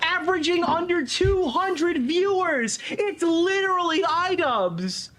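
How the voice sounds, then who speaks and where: Female streamer-style speaker, high pitch, excited casual urging, emphatic and repetitive. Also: takes it slowly.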